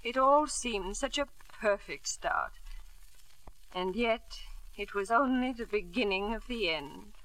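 A woman's voice: medium pitch, animated, takes it slowly, a bit bright, poor audio. An actress playing a bitter, grieving, tipsy older mother with theatrical, maudlin delivery in a 1950s radio drama. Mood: maudlin, anguished.

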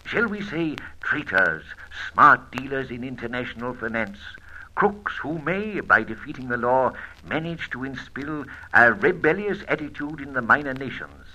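An actor playing a suave, teasing gentleman adventurer in an old radio serial, with urbane, theatrical diction. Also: mid-range voice, lively intonation, normal speed, poor audio. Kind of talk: old radio serial acting, with first-person narration.

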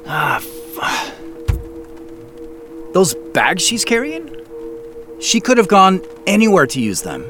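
A man's voice: sits high, huge pitch swings, slow, long pauses, music in the background, heavily acted. An actor playing an anxious, self-blaming man in a naturalistic audio drama. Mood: alarmed, regretful. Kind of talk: naturalistic audio drama acting with first-person narration.

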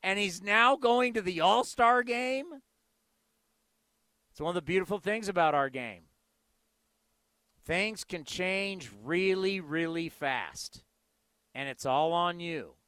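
Impassioned high-voiced sports radio host. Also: male voice, slow pace, pauses often, bright, forceful tone, smooth, no echo, studio quality. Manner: impassioned, emphatic, conversational.

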